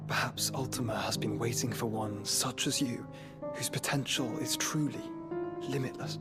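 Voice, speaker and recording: A man's voice: mid-range voice, moderate pace, natural voice. Man playing a solemn young nobleman over music. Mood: solemn, earnest. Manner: solemn, formal, archaic phrasing. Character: earnest, learned young nobleman who wields fire. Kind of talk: dramatic fantasy game cutscene acting. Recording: music behind the voice.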